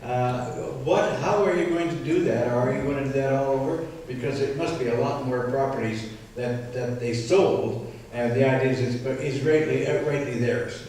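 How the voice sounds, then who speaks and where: A man from Alberta with a Canadian accent asking a question from the audience, halting and repeating himself. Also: mid-range voice, a little mellow. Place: church hall. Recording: echoey hall, distant mic, faint noise.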